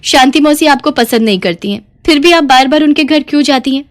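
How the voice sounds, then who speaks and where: A sensible young village daughter-in-law , put on by a female narrator. She is patient and polite, gently remonstrating in a fairly high voice suited to a cartoon moral story. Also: fairly quick, close to an everyday voice.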